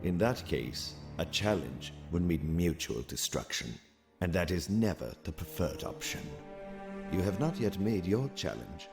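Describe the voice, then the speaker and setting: Very deep, calculating alien strategist. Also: male voice, big pitch swings, over music, full character voice. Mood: calm, calculating. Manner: formal, measured, precise wording. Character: calm, cerebral male alien military cadet and strategist. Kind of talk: scripted audio comic acting.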